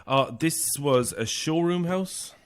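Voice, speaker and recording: An actress playing an anxious, broke young Irish woman in an audio horror drama, talking informally and hesitantly in a husky voice. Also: low voice, takes it slowly. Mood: casual.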